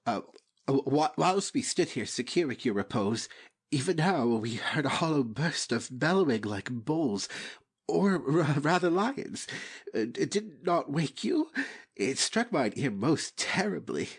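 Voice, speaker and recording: A man playing a treacherous, ambitious royal brother in a deliberate, theatrical reading of Shakespeare. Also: fairly high pitch, very animated, slightly rough, heavily acted. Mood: flustered lying.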